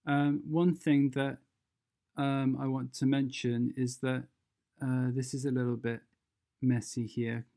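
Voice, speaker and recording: Slow, mellow casual tutorial presenter. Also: mid-range voice. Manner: informal, instructional, thinking aloud. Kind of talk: casual step-by-step software tutorial narration.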